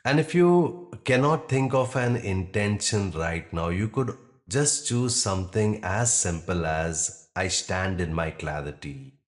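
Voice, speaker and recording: Deep-voiced, very slow male meditation guide. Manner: calm, measured, with long pauses between short phrases. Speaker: yoga nidra meditation guide.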